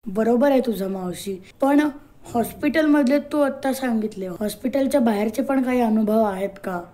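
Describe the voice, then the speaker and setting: Girl cohosting a podcast, kinda high but mellow voice, asking questions. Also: moderate pace. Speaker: podcast co-host, interviewer.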